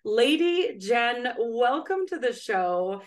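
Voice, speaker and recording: A woman's voice: a little high, very animated, slow pace. A woman hosting an interview podcast, introducing her guest and asking questions in an enthusiastic, affirming way. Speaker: podcast host.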